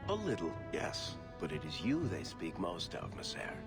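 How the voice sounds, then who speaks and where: Glib dwarf rogue, wry persuasive salesman, expressive swooping delivery, game cutscene, music underneath. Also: low voice, normal speed. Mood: smooth, flattering. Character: smooth-talking, wry dwarf rogue and dealmaker. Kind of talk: video game cutscene dialogue. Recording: music behind the voice. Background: background music.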